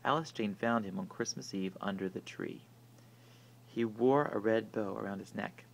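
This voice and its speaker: A man reading a children's picture book aloud with deliberate, dramatic storytelling. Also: on the low side, vocal fry, rough recording. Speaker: story reader. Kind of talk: expressive picture-book narration.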